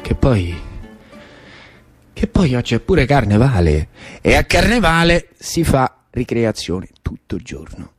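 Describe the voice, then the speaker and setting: A man with a Roman Italian accent performing a colloquial theatrical monologue for radio as an animated storyteller. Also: mid-range voice, a little slow, soft background music. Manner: animated, colloquial, shifting between narration and characters. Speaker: actor and storyteller.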